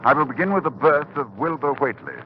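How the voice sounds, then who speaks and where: An actor playing a grave, urgent professor who narrates a horror tale in formal, solemn tones in a 1940s radio drama. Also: male voice, a little high, animated, quick pace, loud music behind the voice, close to an everyday voice. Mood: ominous. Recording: muffled vintage 1940s radio recording.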